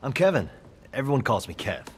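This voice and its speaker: A man playing a brash, laid-back young partier in a video game cutscene, with a husky voice. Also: mid-range voice, big pitch swings, a little fast, slight background noise, natural voice. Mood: laid-back, friendly.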